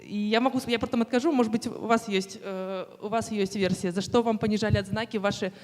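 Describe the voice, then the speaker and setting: Moderator, woman, mid-pitched, hesitant with fillers, long hall reverb. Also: fast. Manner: hesitant, many fillers, lively questions. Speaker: presenter and moderator. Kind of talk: moderating a book presentation. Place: festival hall.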